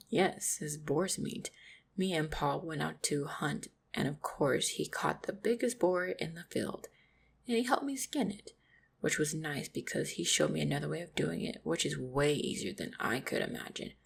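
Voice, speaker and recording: A woman playing a gentle, devoted orc hunter in a roleplay audio drama, speaking tenderly and reassuringly with a husky, creaky voice. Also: deep voice, expressive, moderate pace, full character voice. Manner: tender, reassuring, softly spoken. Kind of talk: roleplay audio drama, intimate monologue to the listener.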